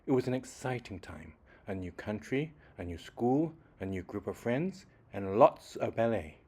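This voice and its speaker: A man reading a children's story aloud expressively, with deliberate phrasing and dramatic emphasis. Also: lowish voice, lively intonation, warm tone, breathy edge. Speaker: children's book reader.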